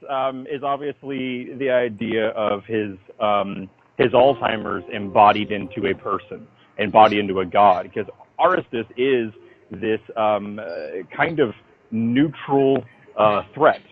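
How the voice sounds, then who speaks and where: Guy w a lowish voice explaining his writing on a phone interview, halting but emphatic, kinda dull lo-fi sound. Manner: halting and emphatic, informal, swears casually. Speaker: interviewee, comic book writer. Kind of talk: casual phone interview, storytelling. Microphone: phone call.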